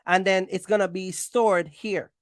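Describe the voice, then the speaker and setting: A woman's voice: mid-range voice, fairly even intonation, measured pace, no room sound, studio quality. A woman hosting an informal explainer for viewers, speaking bluntly and emphatically in colloquial, slangy language and repeating phrases for stress. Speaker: trucking channel host giving advice.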